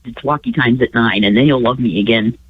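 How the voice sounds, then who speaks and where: A woman's voice: very deep voice, breathy edge, no echo. Stuffy-nosed woman on a tinny phone line. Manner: rambling, halting restarts, chuckles often. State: stuffy nose from a cold. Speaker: radio show co-host. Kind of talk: casual radio chat.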